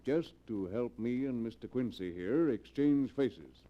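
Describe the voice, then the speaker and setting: An actor playing a calculating, self-assured millionaire fugitive in 1940s radio style, brisk and businesslike. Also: male voice, mid-range voice, animated, natural voice. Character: commanding, calculating millionaire fugitive with a hot temper. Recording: muffled 1940s radio drama recording.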